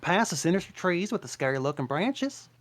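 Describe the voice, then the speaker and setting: A wisecracking, loyal talking donkey, voiced by a table reader, sassy and quick to get defensive. The pitch bounces about with lively energy. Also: close to an everyday voice. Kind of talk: amateur table read.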